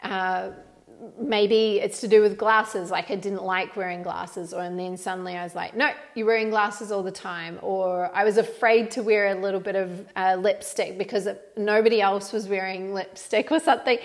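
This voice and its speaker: Animated woman with a Kiwi-Aussie accent. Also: mid-range voice, moderate pace. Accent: New Zealand with some Australian. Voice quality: animated, emphatic. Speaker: podcast host. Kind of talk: solo podcast talk.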